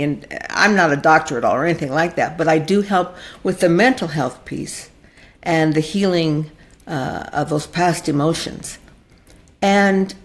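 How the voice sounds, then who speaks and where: An older woman hosting a conversational interview, retelling exchanges by acting out what people say. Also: fairly low pitch. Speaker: podcast host.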